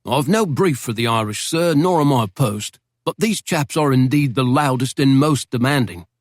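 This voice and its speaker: A man's voice: mid-range voice, normal speed, no room sound, studio quality, close to an everyday voice. A man voicing a deferential British barman who is eager to chat, in a storybook reading. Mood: eager, polite. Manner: deferential, formal.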